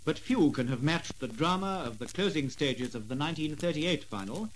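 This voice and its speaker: A man giving formal, measured documentary narration in a 1950s style on an old gramophone record. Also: on the high side, animated, poor audio. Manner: formal, measured announcer delivery. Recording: vintage 78rpm gramophone record.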